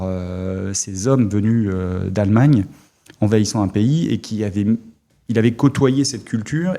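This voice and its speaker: A middle-aged man from Alsace, a former army officer, talking as an interview guest in a halting way with many fillers. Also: lowish voice, gravelly, mellow. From Alsace, France. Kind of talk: casual interview talk.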